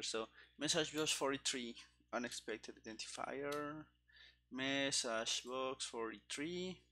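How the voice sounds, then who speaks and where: A man in his thirties thinking aloud as he codes on a stream, halting and muttering to himself into a headset microphone, with keyboard clicks behind him. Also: medium pitch, sharp tone. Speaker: programmer streaming game development. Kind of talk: thinking aloud while live coding. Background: keyboard typing and clicking.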